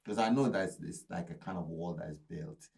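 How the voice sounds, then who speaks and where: A man's voice: low-pitched, a little fast, gravelly, a little mellow, breathy edge. A man hosting a podcast conversation, talking casually and stumbling over repeated words as he searches for them. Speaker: podcast host. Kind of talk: casual interview chat.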